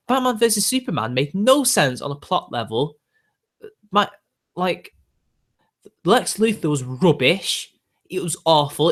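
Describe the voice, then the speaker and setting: A British man in his twenties with a young-sounding voice, chatting casually and ranting to his viewers with lots of fillers. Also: fairly high pitch. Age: 20s. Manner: ranty, exasperated, filler-heavy. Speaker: YouTube host.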